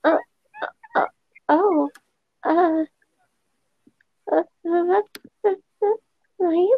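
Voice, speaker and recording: A woman's voice: extremely high pitch, extremely slow, exaggerated voice. A woman voicing a shy, flustered young female newcomer who stammers and hesitates in an improvised roleplay. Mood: flustered, stammering. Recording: dull lo-fi microphone.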